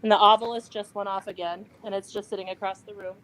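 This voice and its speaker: A woman's voice: medium pitch, little pitch movement, fast talker, fairly bright tone, a little hiss or hum, rough recording. A woman investigating a haunted manor, steadily reading out what her device says and giving instructions to her team. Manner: reads out device words matter-of-factly. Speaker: paranormal investigator. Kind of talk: live ghost-hunt commentary.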